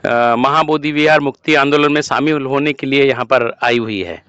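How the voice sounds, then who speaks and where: A man from Madhya Pradesh, answering an interviewer earnestly in a halting, deliberate way and pressing points with rhetorical questions. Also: medium pitch, a bit bright, smooth. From Madhya Pradesh, India. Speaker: protest participant being interviewed. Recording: dull lo-fi close recording.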